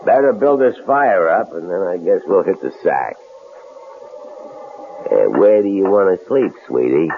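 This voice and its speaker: An actor playing a menacing killer who mocks his hostages in a drawling, gravelly voice, in vintage radio drama style. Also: low-pitched, very animated, a little slow, long pauses, phone-quality sound, exaggerated voice. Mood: mock friendly. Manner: mocking, drawling interrogation with sudden swoops. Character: menacing, taunting male killer holding a couple hostage. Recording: noisy, band-limited old radio broadcast.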